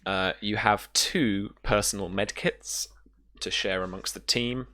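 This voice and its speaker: A man's voice: lowish voice, steady tone, measured pace, bright, forceful tone. A man casually narrating and explaining rules as a game master, feeling his way through explanations piece by piece.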